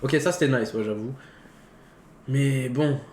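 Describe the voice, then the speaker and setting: A man's voice: medium pitch, unhurried, darkish tone. A man co-hosting a casual film talk show, speaking slangy peppered words. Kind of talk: casual chat about films. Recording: roomy echo, faint background noise.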